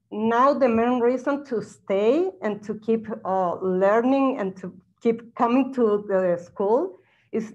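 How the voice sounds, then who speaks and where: A young woman from Chile, a current graduate student speaking through a face mask on a video call, halting with many fillers and restarts. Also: fairly high pitch, darkish tone. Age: young adult. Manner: halting, many uhs and restarts, non-native phrasing. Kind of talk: answering questions on a video call. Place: bright indoor room, wearing a face mask. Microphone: laptop webcam mic. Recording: dull lo-fi video call audio.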